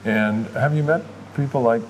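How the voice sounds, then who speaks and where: A man's voice: mid-range voice, moderate pace, rough recording. A man interviewing a guest in an encouraging, conversational way. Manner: encouraging, frequent backchannel. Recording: audible air conditioning hum.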